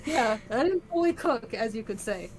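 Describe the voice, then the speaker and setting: A woman's voice: on the high side, lively intonation, moderate pace, crowd noise. Boisterous woman with a country accent. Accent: rural American country. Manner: boisterous, rowdy, swears freely. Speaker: guest singer. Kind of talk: casual chat on a stream.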